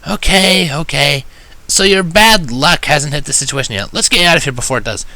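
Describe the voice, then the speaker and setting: Man putting on a high, brash pony girl. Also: lively intonation, moderate pace, pushed and bright, no room sound, heavily acted. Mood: dismissive. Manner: brash, dismissive. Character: brash, teasing young female pegasus pony.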